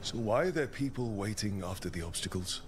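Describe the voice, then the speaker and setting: Man acting a low-voiced, gentle fantasy hero. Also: expressive, a little fast, a little hiss or hum, natural voice. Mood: calm, curious. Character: calm, supportive male fantasy adventurer, the game's hero. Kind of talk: video game dialogue acting. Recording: echoey, with music underneath.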